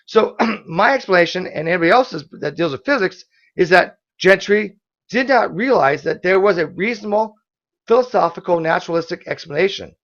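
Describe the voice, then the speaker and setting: A hoarse man hosting an online debate, pushing back with quick, forceful rebuttals full of restarts. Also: sits high, expressive, lo-fi sound. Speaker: debate host.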